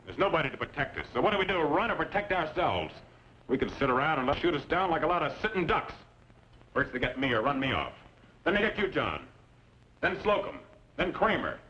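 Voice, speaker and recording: An actor playing a stubborn, resolute homesteader with folksy rural speech in an old-time radio western. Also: male voice, fairly high pitch, sing-song, a little fast, sharp tone. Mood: defiant, rallying. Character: stubborn, resolute married homesteader rallying his neighbors. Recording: muffled vintage radio recording, faint noise.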